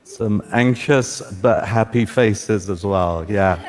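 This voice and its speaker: A man's voice: fairly low pitch, takes it slowly. Farsi-native man presenting on stage. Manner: relaxed, joking, with filler "uh"s. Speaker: tech presenter. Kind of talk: live product demo talk. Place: stage of a large auditorium. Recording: echoey hall reverb.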